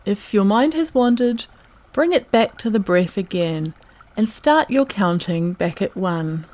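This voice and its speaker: A woman narrating a guided meditation in a calm, gentle, unhurried manner, leaving long pauses between phrases. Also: mid-range voice.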